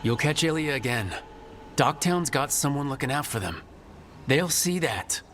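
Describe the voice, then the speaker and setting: A man playing a steady, encouraging adventurer in a video game, speaking plainly. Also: mid-range voice, normal speed, a little hiss or hum, lightly acted. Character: steady, plain-spoken male adventurer leading companions.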